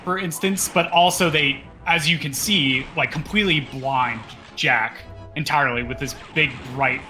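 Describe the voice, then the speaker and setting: Bright-voiced man commentating a speedrun. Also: fairly high pitch, moderate pace, faint music behind. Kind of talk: casual live gaming commentary.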